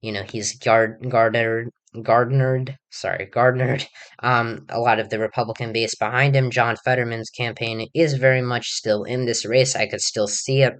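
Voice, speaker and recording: A hoarse young man giving a casual election prediction monologue, rambling with many fillers and restarts. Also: mid-range voice, steady tone, normal speed, fairly bright tone. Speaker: political commentator.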